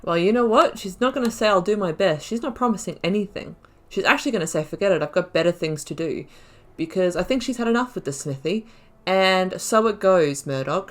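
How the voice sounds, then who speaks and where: A woman hosting a game playthrough, thinking aloud in casual, expressive commentary with emphatic repetition. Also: medium pitch. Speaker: gaming video host.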